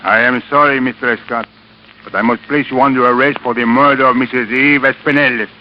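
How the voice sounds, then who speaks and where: A man playing a calm police detective in a radio drama, speaking in a polite, measured, official manner. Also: mid-range voice, lightly acted. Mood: formal, grave. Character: formal, calm police detective making an arrest. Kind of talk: 1940s radio acting. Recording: very noisy, muffled old radio recording.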